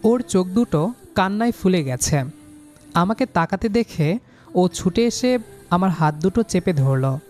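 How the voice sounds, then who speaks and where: A man's voice: sits high, expressive, unhurried, pauses often, a little mellow, soft background music. A man narrating a scripted love story in the first person, in an emotive, dramatic manner.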